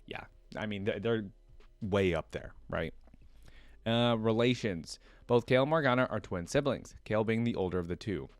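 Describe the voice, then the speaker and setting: A man's voice: fairly low pitch, moderate pace, no echo, soft background music. A man talking casually and enthusiastically as a reaction video host, commenting and reading text aloud.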